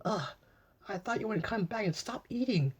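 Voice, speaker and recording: A man softly voicing a lonely, pleading beast. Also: high-pitched, animated, moderate pace, slightly rough, voice pushed up, heavily acted. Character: lonely gentle male beast, sad and pleading.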